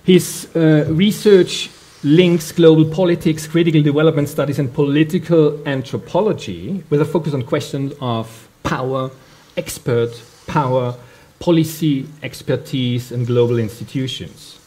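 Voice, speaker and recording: Male panel chair, warm relaxed tone, formal introductions delivered haltingly and deliberately. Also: a little high. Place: public panel event in a library.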